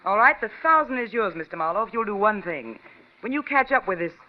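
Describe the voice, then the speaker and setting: A woman's voice: mid-range voice, very animated, brisk, close to an everyday voice. An actress playing a shrewd, wealthy woman with a mind for business in a vintage radio crime drama, direct and insistent. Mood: brisk, insistent. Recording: muffled vintage radio broadcast, faint music.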